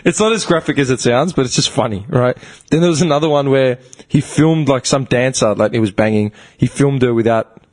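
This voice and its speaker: A man's voice: mid-range voice, brisk. A man in his thirties in casual, slangy banter, blunt and often swearing. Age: 30s. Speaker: talk show host.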